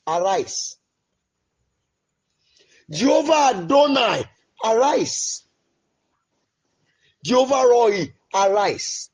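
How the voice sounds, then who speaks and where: A man leading an impassioned, pleading prayer, swinging between loud cries and low murmurs. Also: breathy edge. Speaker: prayer leader. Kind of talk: fervent spoken prayer.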